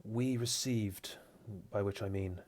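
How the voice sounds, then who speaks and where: A man voicing a formal male knight giving a measured briefing in a tabletop game. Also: lowish voice, darkish tone. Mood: grave.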